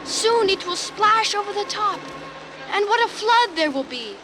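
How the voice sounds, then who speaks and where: A woman's voice: extremely high pitch, expressive, unhurried, background noise. A woman voicing a small, childlike toy tugboat in a children's storybook, boastful yet easily worried and given to plaintive exclamations. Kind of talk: children's storybook character acting. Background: music.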